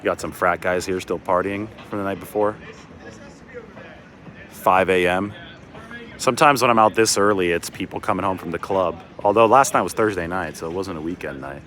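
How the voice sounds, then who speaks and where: Deep-voiced American man chatting outdoors. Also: moderate pace, a bit bright. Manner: rambling, chatty asides while on the move. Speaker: sports reporter hosting a livestream. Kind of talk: casual livestream chat. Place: outdoors on city streets by a river at dawn. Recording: outdoor city ambience with traffic noise.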